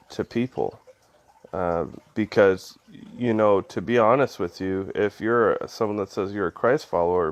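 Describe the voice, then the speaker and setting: A man's voice: low-pitched, dull audio. A man with a head cold and a stuffy, nasal voice gives a casual spoken devotional, loose and conversational with many ums and uhs. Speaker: devotional video host.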